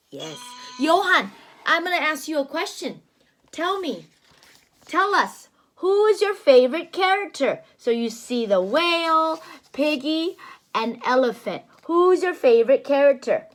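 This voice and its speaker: Animated woman reading to a child. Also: high voice. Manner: animated, gasping and gently coaxing. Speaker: read-aloud storyteller. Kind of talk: reading a picture book to a child.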